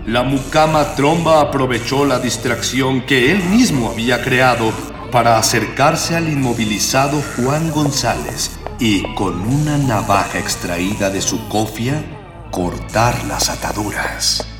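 A man's voice: mid-range voice, lively intonation, measured pace. Pompous, echoing male serial narrator. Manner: pompous, florid, mock-epic. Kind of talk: scripted radio serial narration. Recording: echoey long reverb over music.

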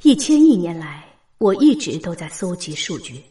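A woman's voice: fairly low pitch, sing-song, normal speed, mellow. Woman as echoing, impassive cosmic supercomputer. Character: calm, impassive cosmic supercomputer, ancient and all-knowing. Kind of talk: audio drama acting. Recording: roomy echo on the voice.

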